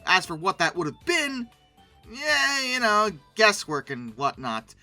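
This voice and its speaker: A commentator giving casual, humorous commentary in a rambling, animated, mock-indignant way, with conversational asides. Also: mid-range voice, a little slow, creaky, no room sound, soft background music. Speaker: host reviewing old dinosaur illustrations.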